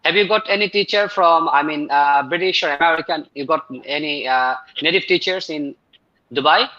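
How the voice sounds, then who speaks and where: A man hosting live speaking-exam practice as tutor, asking questions in a halting way with many fillers. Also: fairly high pitch, pushed and bright, muffled recording.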